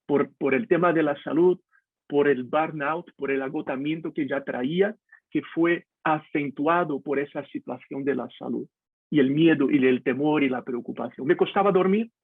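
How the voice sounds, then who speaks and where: Brazilian pastor guy, husky voice, talks straight to you, sounds like a dry tinny online call. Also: a little high, no echo. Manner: candid, confessional, addresses listener directly. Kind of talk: personal devotional talk. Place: home, video call.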